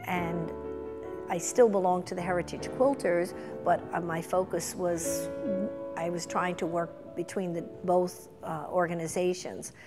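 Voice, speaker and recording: A woman's voice: fairly low pitch, fairly even intonation, unhurried, in a large hall. A middle-aged woman speaking in a documentary interview as a museum chairperson, recorded indoors. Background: instrumental music.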